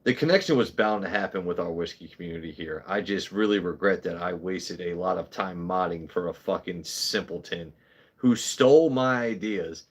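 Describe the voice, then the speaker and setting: A man's voice: deep voice, moderate pace, breathy edge. A man in his thirties hosting a casual livestream, talking bluntly with lots of swearing and rambling asides. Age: 30s. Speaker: whiskey channel host.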